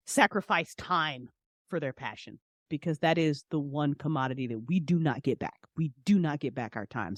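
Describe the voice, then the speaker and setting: A woman's voice: extremely low pitch, no echo. A woman speaking as a podcast guest in casual, passionate interview talk, emphatic and repeating phrases for stress. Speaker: podcast guest, audio drama writer and director.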